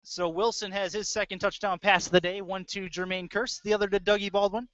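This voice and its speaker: A man with a slightly high voice works as a football play-by-play announcer. He describes the action in short, clipped phrases. The sound is dry and somewhat dull, like a lo-fi webcast. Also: flat delivery, fairly quick, fairly bright tone, no echo. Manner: clipped running description of plays. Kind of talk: live American football commentary.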